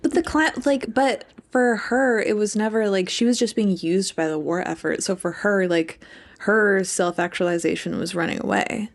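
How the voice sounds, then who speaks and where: Creaky-voiced young woman chatting. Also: medium pitch, moderate pace. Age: young adult. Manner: informal, heavy use of "like". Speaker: podcast co-host. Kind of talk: casual book discussion chat.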